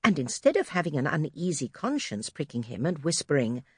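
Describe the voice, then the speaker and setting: Animated low-voiced woman storyteller. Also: a little fast, slightly rough, dry recording, clean studio sound. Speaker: audiobook narrator. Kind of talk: expressive scripted children's story narration. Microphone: close studio microphone.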